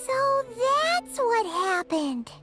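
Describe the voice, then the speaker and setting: A woman voicing a tiny, curious, childlike companion in a video game with a squeaky little voice. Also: sing-song, extremely slow, exaggerated voice. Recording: music behind the voice.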